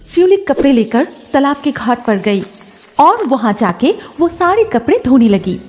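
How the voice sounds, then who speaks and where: A woman's voice: high voice, very animated, unhurried, background noise. A woman reading scripted children's story narration as the narrator.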